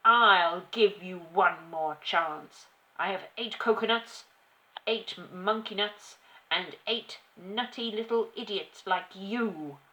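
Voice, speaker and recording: A domineering headmistress roars in a loud, high-pitched voice. She is a bullying tyrant, drawing out her words with furious, scornful emphasis. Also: exaggerated voice, poor audio. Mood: furious, bellowing. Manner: bellowing, emphatic insults with drawn-out words. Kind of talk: children's storybook character reading.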